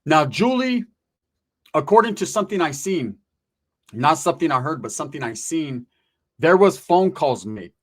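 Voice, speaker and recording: A man with a slightly high voice gives informal online commentary. He speaks straight to his viewers in slangy street talk, pressing points with rhetorical questions and tag questions, and swears casually. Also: big pitch swings, moderate pace, long pauses. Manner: emphatic, punctuated with rhetorical questions and "right?".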